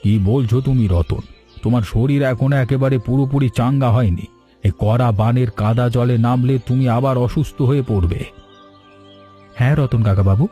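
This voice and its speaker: A man's voice: mid-range voice, normal speed, warm tone, close to an everyday voice. A man playing an earnest, dutiful and caring village farmer in a cartoon, speaking respectfully. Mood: concerned. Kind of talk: cartoon dialogue acting. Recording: faint music under voice. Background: faint music.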